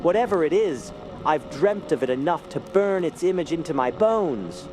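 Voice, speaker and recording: An actor playing an eccentric, haughty sculptor haunted by dreams, in a theatrical, brooding radio drama style. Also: male voice, a little high, expressive, normal speed, natural voice. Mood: brooding, sardonic. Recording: loud music behind the voice. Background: music.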